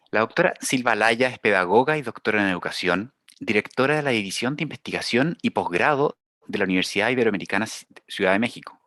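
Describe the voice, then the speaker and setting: A man's voice: fairly low pitch, fairly bright tone, no echo, studio quality. A man with a Chilean accent moderating a panel, formally introducing a guest, with small hesitations as he reads. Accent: Chilean Spanish.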